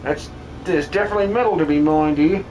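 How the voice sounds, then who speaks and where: Man voicing a high-pitched cowardly Cockney miner. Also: quick pace, a little mellow, lightly acted. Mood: helpful, chatty. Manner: slangy, theatrical, exclamatory. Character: cowardly, cheeky working-class male miner, grumbling and excitable. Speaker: streamer voice acting game characters. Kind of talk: fan dub of video game dialogue. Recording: clearly noisy background.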